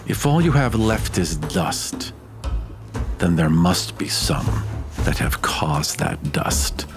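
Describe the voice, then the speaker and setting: Man as a low-voiced wily soldier. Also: heavily acted. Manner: formal, careful, persuasive. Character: wily, opportunistic male soldier bargaining for his life.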